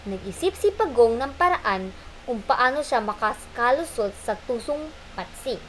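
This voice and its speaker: A woman's voice: a little high, lively intonation, unhurried, slight background noise, rough recording. A woman narrating an amateur puppet show as an informal storyteller.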